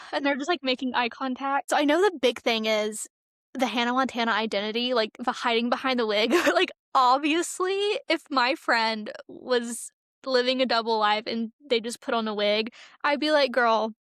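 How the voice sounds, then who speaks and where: Young woman, high voice, podcast co-host, chatty and slangy, casual conversation, close dry sound. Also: moderate pace, fairly bright tone, no echo. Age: young adult. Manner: chatty, informal slang, frequent "like". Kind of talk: casual pop culture chat.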